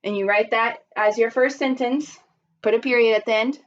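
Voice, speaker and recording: High-voiced woman teacher reading to children. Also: normal speed, muffled recording. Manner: expressive, instructive, simple child-directed phrasing. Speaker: primary school teacher. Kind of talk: children's read-aloud and lesson.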